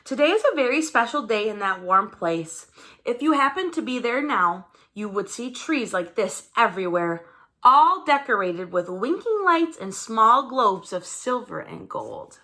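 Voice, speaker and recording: Woman playing a bright-voiced, firm storybook mother. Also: a little high, sing-song, measured pace, full character voice. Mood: tender, wistful. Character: child's mother, firm, tender, reserved, wistful.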